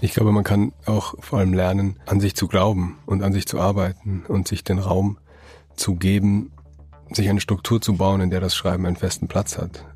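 A man's voice: low voice, level tone, normal speed, a little mellow, faint music behind. A man, a novelist answering interview questions thoughtfully, often restarting his words. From Germany. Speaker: novelist guest. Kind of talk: reflective interview talk.

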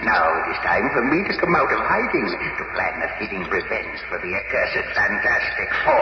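An actor playing a vengeful male villain in a radio drama, theatrically plotting aloud to himself. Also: lowish voice. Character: gloating, vengeful, scheming male villain puppeteer. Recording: very noisy, echoey, muffled old radio recording.

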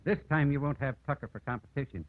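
An actor playing a kindly, encouraging small-town judge in a 1950s radio drama. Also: male voice, mid-range voice, quick pace, no room sound, phone-quality sound, lightly acted. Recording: thin, band-limited vintage radio recording.

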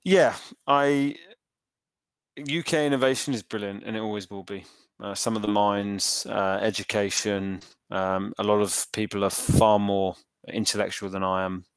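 A man in his thirties answering interview questions in a thoughtful, halting way, full of ums and restarts. His voice sits low and comes through smooth and resonant. The recording is close and dry, with little room sound. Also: steady tone, no echo. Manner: thoughtful, hesitant with many fillers and restarts. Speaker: entrepreneur guest.

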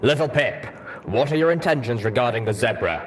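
A man's voice: mid-range voice, lively intonation, fairly quick, a little hiss or hum. A man voicing a stern, suspicious old soldier pony in armor, indignant and commanding, with a reverberant helmet voice, for a fantasy audio story.

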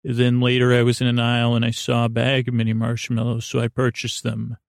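A man hosting a podcast with a soothing, creaky voice, rambling in a halting, silly, whimsical way as if for bedtime. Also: medium pitch, monotone, dry recording, studio quality. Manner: meandering, halting, silly and goofy. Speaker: podcast host. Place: podcast studio.

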